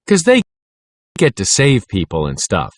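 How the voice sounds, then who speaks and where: A chatty, cocky schoolboy as a man reads him from a children's storybook. He talks casually and eagerly, with very animated pitch. The sound is dry and close. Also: measured pace, dry recording, exaggerated voice.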